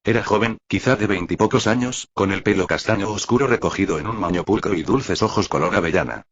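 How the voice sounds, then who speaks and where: A man's voice: deep voice, fairly quick, dull audio, slight background noise. A man reading scripted fiction aloud as an audiobook-style narrator.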